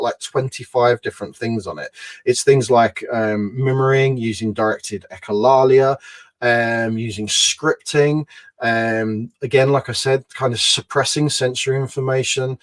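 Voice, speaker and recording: A man, an advocate and trainer, speaking earnestly in a conversational interview and explaining at length with lots of "you know" and "kind of". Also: mid-range voice.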